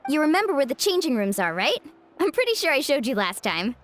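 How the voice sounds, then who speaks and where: A woman playing an upbeat, caring young woman in a video game, expressive and friendly. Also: high voice, fairly quick. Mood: excited, bossy. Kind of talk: English video game voice acting. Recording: faint music behind the voice.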